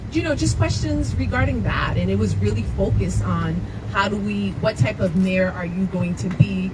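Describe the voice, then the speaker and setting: A woman whose first language was not English, a mayoral candidate, answering press questions earnestly, with many fillers and restarts. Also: medium pitch. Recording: loud noise, far from the mic.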